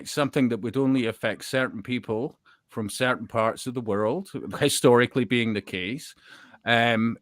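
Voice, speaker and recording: A man's voice: mid-range voice, a bit bright, no echo. Guy on an online hangout panel, really swoopy intonation, dry close sound, rambles and keeps asking if you follow. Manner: hesitant phrasing with rhetorical check-in questions. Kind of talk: casual, rambling opinionated talk.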